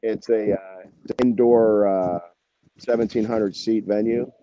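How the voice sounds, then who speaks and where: A man's voice: fairly low pitch, steady tone, measured pace, mellow, no room sound, highs cut off. An American man chatting casually as an interview guest, in a rambling, informal way. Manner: rambling, informal, peppered with "you know" and "man". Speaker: band musician, interview guest.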